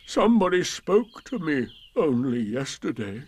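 A man voicing a gloomy, pompous old donkey in a storybook, declaiming sternly in a ponderous, drawn-out way. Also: on the high side, very animated, a little mellow, slightly rough, exaggerated voice. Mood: self-pitying. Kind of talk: storybook character voice.

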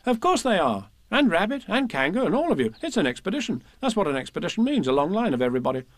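A man acts a young storybook boy, fond and a little bossy. The voice is raised high and very animated, full of confidence. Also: quick pace, no room sound. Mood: bossy, instructing. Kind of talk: children's storybook reading.

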